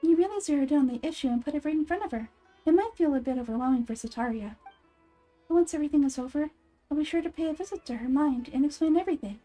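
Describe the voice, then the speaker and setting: A gentle young girl deity from a fantasy game, voiced by a woman. The high voice is mellow and measured, explaining things politely and thoughtfully. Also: soft background music, close to an everyday voice. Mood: reassuring. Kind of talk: video game character voice acting.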